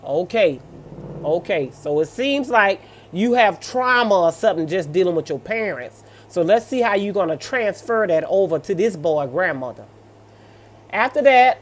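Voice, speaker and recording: A mid-pitched American voice reads stories aloud and comments on them off the cuff. The delivery is sassy and blunt, with colloquial asides in a scolding manner. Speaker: host reading and reacting to online stories.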